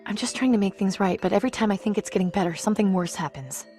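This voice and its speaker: A woman's voice: mid-range voice, quick pace, dark tone, faint music behind, natural voice. An actress playing a weary, troubled young woman survivor in a video game, speaking in an earnest, hesitant, plain way. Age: young adult. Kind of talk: video game character acting.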